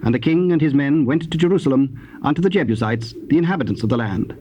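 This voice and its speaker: A man with a British accent narrating scripture in a formal, measured way. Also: fairly high pitch, lively intonation, mellow. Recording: dull, faintly noisy old cassette.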